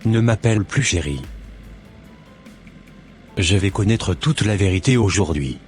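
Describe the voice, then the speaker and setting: A brooding, wronged husband acted by a man in a homemade cartoon. He speaks very low, with grave, earnest sternness. Light music runs under the voice. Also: animated, measured pace, darkish tone. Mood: angry. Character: betrayed, wounded but loving husband, stern and brooding.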